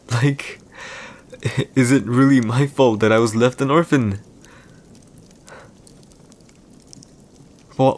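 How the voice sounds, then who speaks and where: Man playing a smug low-voiced villain emperor. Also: vocal fry, darkish tone. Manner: smug, teasing, theatrical, formal phrasing. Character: arrogant villainous young male emperor, teasing and secretly wounded. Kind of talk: audio roleplay, intimate monologue to the listener.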